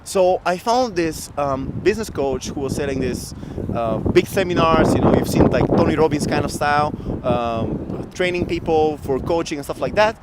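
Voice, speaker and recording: A man's voice: a little high, normal speed, heavy background noise. Upbeat man talking outdoors in a city. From Bulgaria. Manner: animated, laughs often, upbeat. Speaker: guest entrepreneur. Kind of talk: casual interview storytelling. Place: outdoor city square in winter. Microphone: handheld mic shared, camera close.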